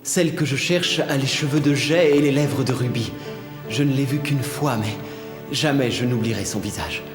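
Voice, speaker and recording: A courtly young prince voiced by a man, earnest and ardent, in a cartoon fairy tale. His mid-pitched voice is formal and deferential, with long, echoing hall reverb around it. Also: normal speed, over music, lightly acted.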